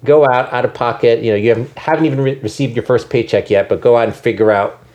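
A man's voice: medium pitch, fast talker, a little mellow, lo-fi sound. A man being interviewed, speaking thoughtfully with frequent ums and restarts. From United States. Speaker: guest, education nonprofit leader. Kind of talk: conversational interview.

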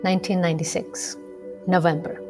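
A woman from Kenya with a fairly low voice talks through her answers in a measured, explanatory way. She is a medical student in her thirties, giving an interview and pausing now and then on small fillers. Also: level tone, a little mellow, faint music behind. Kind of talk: radio interview conversation.

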